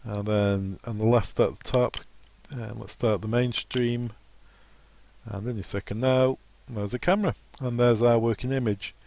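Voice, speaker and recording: A man's voice: mid-range voice, fairly even intonation, measured pace, a little mellow, no room sound. A man presenting an informal technical training walkthrough, explaining each step plainly as a trainer. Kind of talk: software walkthrough tutorial, informal instruction.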